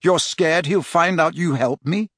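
A man voicing a determined teenage wizard boy who speaks in a quiet, steady, pressing way. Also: on the high side, measured pace, no echo, studio quality, voice pushed up.

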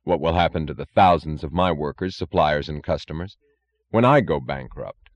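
A man voicing a calm, blunt industrialist who speaks in a cold, matter-of-fact, logical way. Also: very deep voice, moderate pace, dry recording, highs cut off. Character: calm, blunt, defiant male steel industrialist, coldly logical.

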